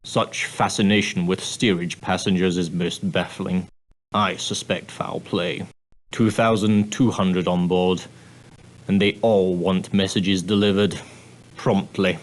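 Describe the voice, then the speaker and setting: A prim and proper male steward of an ocean liner, speaks in a fairly low voice. He is formal and clipped, with a dry, condescending edge beneath the courtesy. It is scripted character dialogue for an adventure game. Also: level tone, slow, low-quality recording. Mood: dry, exasperated. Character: prim, straight-laced male ship's steward, dryly sardonic.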